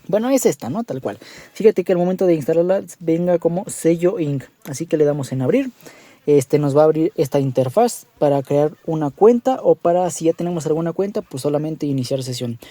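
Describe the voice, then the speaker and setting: Man with a very soft-toned, mellow voice. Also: fairly high pitch, no echo. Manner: informal, meandering, often seeking agreement. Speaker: tutorial host. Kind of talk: casual app tutorial narration.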